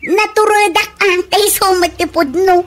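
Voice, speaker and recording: A woman's voice: very high-pitched, fairly even intonation, measured pace, slight background noise. An actress playing a boastful, mocking gossipy woman in an Ilocano radio comedy drama. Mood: scornful.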